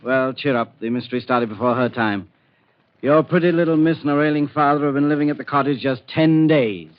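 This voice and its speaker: A man's voice: mid-range voice, fairly quick, natural voice. Guy acting older doctor w a mystery to tell, avuncular, knowing, old muffled radio play. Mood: knowing, teasing. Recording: dull, faintly noisy 1940s radio recording.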